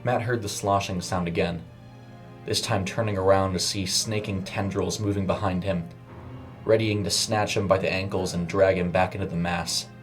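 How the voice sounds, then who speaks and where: A man's voice: low voice, fairly even intonation, normal speed. A man narrating a scripted horror short story in a dramatic storytelling style. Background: music under the voice.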